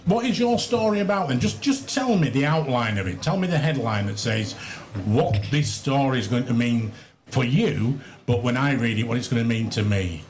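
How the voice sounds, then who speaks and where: A man's voice: medium pitch, normal speed. A man hosting a live radio interview outside, encouraging and repeating back what guests tell him. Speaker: radio host. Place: outdoors in a city square. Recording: outdoor broadcast with steady background noise. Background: outdoor ambience.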